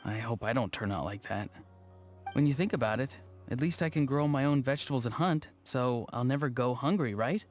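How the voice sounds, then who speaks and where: A man's voice: fairly quick, close to an everyday voice. Man voicing a hesitant, earnest young man. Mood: thoughtful. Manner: hesitant, earnest, low-key. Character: awkward, mellow, earnest young man, video game character. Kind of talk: scripted video game dialogue. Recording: music behind the voice. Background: faint background music.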